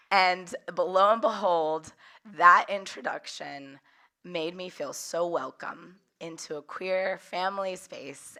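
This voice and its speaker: A young woman telling stories to a workshop group. Her voice sits a bit low but sounds bright and forceful, with a hoarse, slightly cracky edge from getting over a lost voice. She speaks openly and laughs often. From Central Valley of California. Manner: warm, open storytelling with frequent laughs. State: hoarse, recovering from losing her voice. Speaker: workshop leader. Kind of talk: personal storytelling talk.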